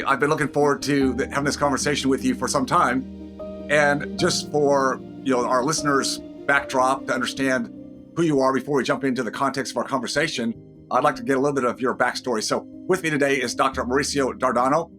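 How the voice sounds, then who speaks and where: A man with a head cold introduces his podcast, his voice rough and raspy. He talks at an unhurried, conversational pace with a middle-range pitch. Clear music plays underneath him. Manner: conversational, measured pace with drawn-out phrases. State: head cold, deeper and raspy than usual. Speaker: podcast host.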